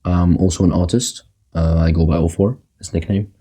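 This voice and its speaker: A young man from Egypt, raised in Qatar, answering interview questions softly and hesitantly, often repeating words. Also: low voice, steady tone, moderate pace, breathy edge. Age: young adult. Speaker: guest. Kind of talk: casual interview talk.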